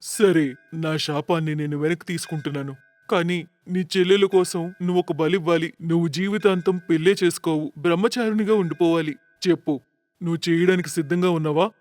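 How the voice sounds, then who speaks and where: A man's voice: fairly high pitch, fairly even intonation, brisk, darkish tone, soft background music, natural voice. A man voicing a stern, wrathful sage in a moral-story cartoon, solemn and commanding. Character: stern, wrathful male sage laying a curse.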